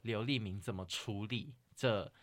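A man's voice: fairly low pitch, measured pace, a bit bright, no room sound. A man with a Taiwanese Mandarin accent hosting a podcast, chatting casually about film. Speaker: podcast host.

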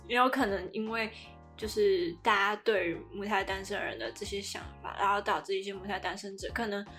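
A woman's voice: medium pitch, brisk, a bit bright, faint music behind. A woman co-hosting a podcast, telling things in a chatty, informal way. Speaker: podcast co-host. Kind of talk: casual podcast chat.

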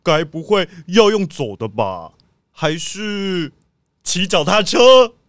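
A man playing an earnest, excitable police squad captain in a children's detective audio drama, animated and anxious. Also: high-pitched, slow pace, a little hiss or hum, full character voice. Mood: questioning.

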